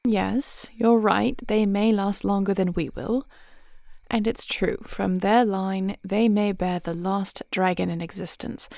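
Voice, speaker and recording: A woman playing a devoted warrior princess in an intimate fantasy audio roleplay, speaking in measured, formal phrases with a reassuring, thoughtful manner. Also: mid-range voice, gravelly, a little mellow. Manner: measured, articulate, formal old-fashioned phrasing.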